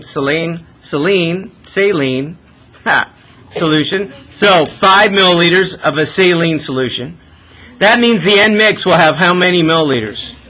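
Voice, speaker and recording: A man's voice: high voice, bright, forceful tone. A man teaching a math class informally, working a problem aloud and often checking in with short questions. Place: classroom with students. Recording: noisy classroom recording.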